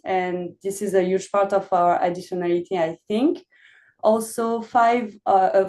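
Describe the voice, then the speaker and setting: A woman with a French accent speaking on a webinar panel, pausing often with fillers as she explains her work. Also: medium pitch, a little mellow. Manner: hesitant, many pauses with "uh". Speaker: impact investment officer, panelist. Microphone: video call.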